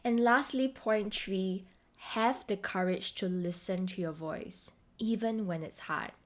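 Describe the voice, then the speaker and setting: A woman hosting a self-help podcast, speaking to the listener directly in a deliberate, encouraging way. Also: mid-range voice. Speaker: podcast host and voice coach.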